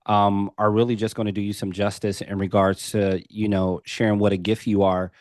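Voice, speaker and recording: A male podcast host with a lowish voice opens the conversation with eager, repetitive enthusiasm. He fills his talk with casual asides and repeated words, and the recording is dry and close. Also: flat delivery, normal speed, no echo. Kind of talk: casual interview.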